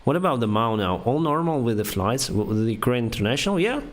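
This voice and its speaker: A young man whose first language is Russian, speaking English haltingly with many fillers. He reads viewer questions aloud and answers them on a casual live stream. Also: medium pitch, expressive. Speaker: airline pilot streamer answering chat.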